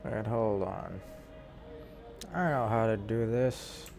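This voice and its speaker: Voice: mid-range voice, takes it slowly, vocal fry, a little mellow, soft background music. A streamer giving casual gameplay commentary, muttering reactions to the game as it goes.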